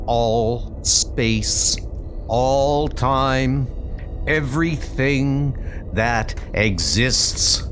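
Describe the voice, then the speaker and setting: A man's voice: medium pitch, extremely slow, a bit bright, slight background noise. A man voicing an ancient, solemn cosmic god in a comic book dub, with a portentous, grandiose manner. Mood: grave, urgent.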